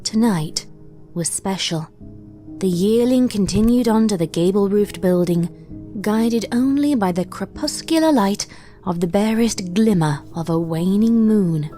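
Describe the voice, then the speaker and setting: Brief: woman narrator, mid-pitched, even storytelling of a grim tale, faint music underneath. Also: a little slow. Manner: steady storytelling. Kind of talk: scripted narration of a horror fanfic.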